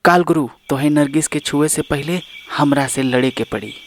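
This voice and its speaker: A man playing an earnest, protective shape-shifting serpent in an animated fairy tale, serious and measured as a storyteller. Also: a little high, a little hiss or hum, natural voice. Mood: protective challenge.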